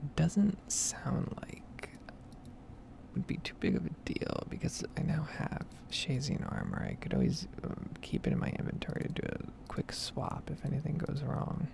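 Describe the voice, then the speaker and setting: A woman speaking in a soft, breathy whisper, rambling casually in an ASMR gameplay style, close to the mic with typing and clicking behind her. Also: low-pitched, unhurried. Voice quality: breathy, soft-spoken whisper with heavy vocal fry. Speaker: gaming streamer and ASMR creator.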